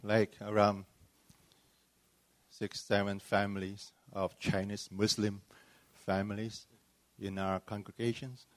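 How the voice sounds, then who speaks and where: A man from Malaysia, a pastor giving a personal account at a panel discussion in halting, hesitant phrases. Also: on the low side, flat delivery, no room sound. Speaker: church planting pastor.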